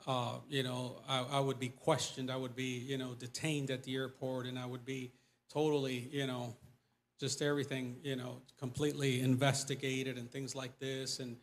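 A missionary pastor in his forties with a Mexican accent, speaking casually in a church and taking questions, animated and gesturing as he talks. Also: medium pitch, normal speed. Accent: Mexican Spanish. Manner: animated, gesturing, voice rising when stressing points. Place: church sanctuary before a pulpit. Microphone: lapel mic.

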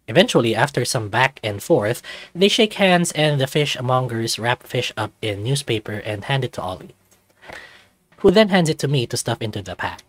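A man reading a visual novel aloud as scripted, expressive first-person narration. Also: mid-range voice, moderate pace, dry recording.

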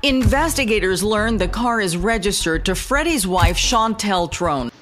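A woman narrating a television crime report in a measured broadcast delivery. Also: medium pitch, expressive, fairly bright tone, faint music behind. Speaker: news narrator. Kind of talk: scripted news narration.

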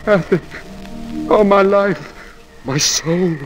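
An actor in an old radio drama playing a passionate, brooding, domineering lover, with an intense, declamatory delivery. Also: male voice, high voice, takes it slowly, muffled recording, faint music behind. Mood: anguished. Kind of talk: 1940s radio drama acting.

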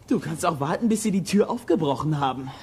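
A performer playing a bold, practical boy detective with a brisk, decisive manner in a children's audio drama. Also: mid-range voice, expressive, a little mellow, a little hiss or hum, close to an everyday voice.

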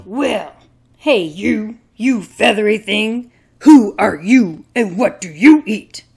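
A woman's voice: mid-range voice, animated, slow, creaky, a little mellow, breathy edge, soft background music, exaggerated voice. A woman voicing a boastful, brash male bullfrog with a big mouth in a children's puppet show, drawling and bragging.

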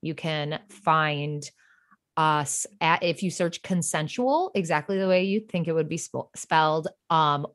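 A woman's voice: low voice, fairly bright tone. A woman guest on a podcast, chatting casually with emphatic, effusive phrasing and lots of "like". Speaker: podcast guest, writer and fiction podcast creator. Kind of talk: casual chat.

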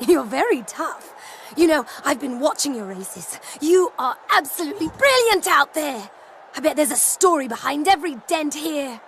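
A woman voicing a polite, admiring young female race car who flatters the hero. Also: high voice, normal speed, slight background noise. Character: friendly young female race car fan named Emma.

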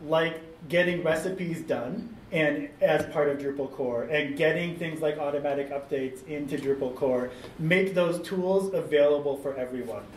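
A man on a discussion panel giving a thoughtful answer with frequent ums, recorded in a meeting room. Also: on the high side. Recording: roomy, distant mic, faint noise.

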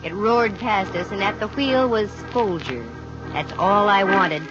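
A woman's voice: mid-range voice, a little slow, close to an everyday voice. Actress as dry, wisecracking American lady detective, muffled radio. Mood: brisk, confident. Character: wry, self-assured female private investigator. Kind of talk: 1950 radio detective drama acting, with first-person narration. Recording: muffled vintage 1950 radio recording with music.

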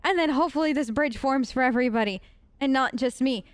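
A woman's voice: sits high, fast, clear, resonant tone, no echo. A woman doing casual, chatty role-play game commentary with a playful, wry manner. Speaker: gamer, let's player.

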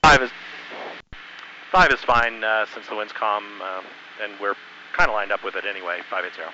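An American man flying a small plane talks casually over his headset. His voice is very bright and forceful through a band-limited intercom, with faint engine noise behind it. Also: on the low side, steady tone, a little fast, muffled recording. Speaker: pilot. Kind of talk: casual explaining and radio calls while flying. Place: small propeller plane cockpit in flight. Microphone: aviation headset mic.

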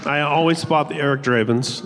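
A man's voice: a little high, normal speed. A man moderating a convention panel, joking dryly with the guests. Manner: dry, joking. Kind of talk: casual panel hosting. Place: large convention hall, on stage. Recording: convention hall reverb with noise.

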